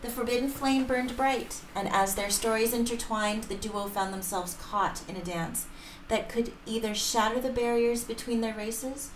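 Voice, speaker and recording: A woman's voice: on the high side, normal speed. Woman reading a story aloud, roomy sound. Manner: careful reading with stumbles. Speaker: reader of a romance story. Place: home room, indoors, at a desk. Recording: roomy sound, faint background noise.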